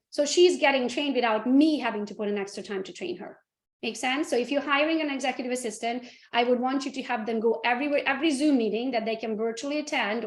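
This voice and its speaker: A woman with a fairly high voice leads a training session, speaking in a roomy, echoing space. She keeps checking in with her audience by asking them to confirm each point. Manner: checks in with the audience using tag questions. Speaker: host and real estate team leader. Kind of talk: live training talk. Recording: roomy echo.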